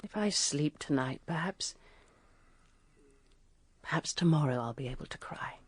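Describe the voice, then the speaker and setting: An actress playing a grieving, guilt-ridden widow in a radio drama, speaking in a halting, sorrowful, hushed manner. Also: female voice, low voice, animated, husky, no room sound, full character voice. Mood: numb grief.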